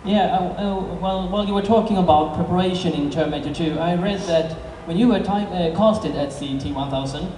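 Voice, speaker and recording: A man with a Swedish accent and a high, mellow voice moderates a stage Q&A. He reads out prepared questions, picked up from far off in a big echoing hall. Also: brisk, hardly any pauses, slight background noise. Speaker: moderator and interpreter. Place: large convention hall. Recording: distant mic in reverberant hall.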